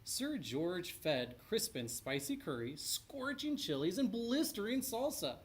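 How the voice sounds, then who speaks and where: A man narrating a children's storybook in an expressive read-aloud style. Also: high voice, unhurried. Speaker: storybook reader.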